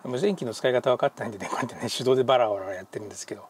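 A man's voice: mid-range voice, racing, a little hiss or hum. A man giving casual vlog narration as a ham radio hobbyist, often muttering hesitantly to himself. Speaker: amateur radio hobbyist and vlogger.

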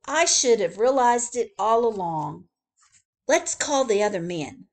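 A woman doing a loud, emphatic zookeeper boss. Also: on the high side, lively intonation, measured pace. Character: puzzled, bossy male zookeeper.

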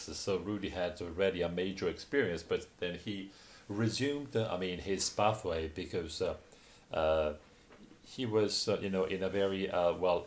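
A man's voice: low-pitched, a little hiss or hum. A man giving a simultaneous interpretation of a conference talk, hesitant and full of fillers and restarts.

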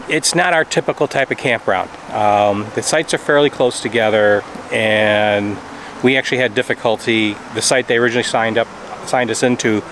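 A man's voice: mid-range voice, a bit bright, rough recording. A middle-aged man talks to a camera held at arm's length at an outdoor campground. He explains things in a plain, practical way. Faint outdoor noise sits under his voice. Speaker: travel vlogger. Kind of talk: casual travel vlog talk.

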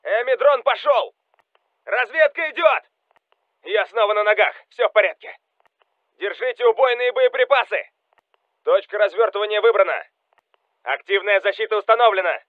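An actor playing a Russian special forces soldier calling out commanding military game lines over a radio. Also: male voice, high-pitched, expressive, normal speed, long pauses, no echo, narrow, tinny audio. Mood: alert, firm. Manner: urgent, commanding.

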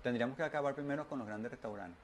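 A man's voice: mid-range voice, racing. An older man speaking earnestly as a guest on a televised discussion. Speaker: TV show guest.

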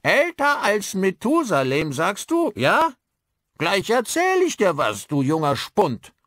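A man's voice: a little high, wildly swooping pitch, very slow, creaky, breathy edge, no room sound, clean studio sound, pitched above the performer's own voice, full character voice. A man voicing a grumpy, teasing, very old village man with a blunt manner. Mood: mock indignant. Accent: Northern English-flavoured speech described in story. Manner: blunt, loud, cackling old-timer.

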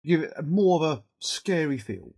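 A middle-aged man with a cold and a fading, husky voice, talking haltingly through an informal step-by-step software tutorial and thinking aloud as he works. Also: on the high side, sing-song. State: has a cold, losing his voice. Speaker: video editing tutorial presenter.

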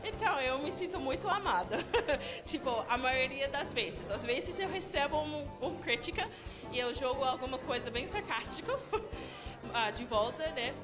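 A young American woman, a native English speaker, answering interview questions with a friendly laugh and halting learner's phrasing, speaking into a handheld mic on an indoor studio set. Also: high-pitched, lively intonation, music in the background. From United States. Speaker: guest youtuber. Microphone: handheld mic held by interviewer.

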